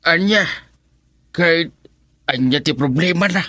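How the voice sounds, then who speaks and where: A fretful elderly grandparent in an ilocano radio drama, bright voice, dry sound. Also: male voice, fairly high pitch, measured pace, dry recording. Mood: surprised, worried.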